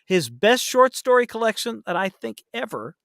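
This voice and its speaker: A man's voice: extremely high pitch, very animated, normal speed, gravelly, fairly bright tone, no room sound, studio quality. A man chatting casually as a podcast guest, rambling and self-deprecating, often trailing off mid-thought. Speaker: podcast guest, audiobook narrator.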